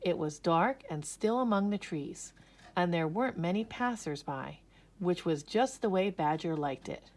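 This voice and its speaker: A woman narrating a children's story aloud with expressive, dramatic emphasis. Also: fairly low pitch. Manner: slow, animated, dramatic emphasis.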